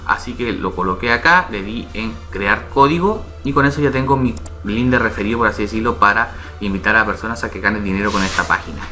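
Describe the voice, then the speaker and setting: A man's voice: fairly low pitch, fast, few pauses, sharp tone, faint music behind. A man hosting his channel, casually explaining a website step by step and checking in with his viewers as he goes. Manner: explains step by step, often asking "¿ya?" and "¿vale?". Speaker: YouTube channel host. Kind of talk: casual screen-recorded tutorial.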